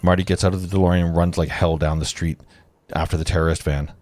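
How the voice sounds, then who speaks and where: A low-pitched man reads screenplay directions aloud in a casual read-through. The sound is dry and close, and his delivery is informal, with asides to the others at the table. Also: flat delivery, fast, a little mellow, no room sound. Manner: informal, breaks off to comment and joke. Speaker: narrator reading stage directions at a table read.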